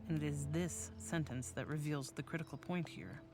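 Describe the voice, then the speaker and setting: A woman reading letters as an earnest young woman philosopher, measured and formal. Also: low voice, noisy background. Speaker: reader of letters in a documentary.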